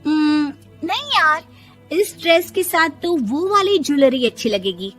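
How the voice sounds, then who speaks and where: A woman's voice: sits high, expressive, a little slow, a bit bright, faint music behind. A woman voicing a sassy, defiant young daughter-in-law in a moral story, with a cheeky, argumentative way of talking. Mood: casual. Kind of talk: animated moral story voice acting.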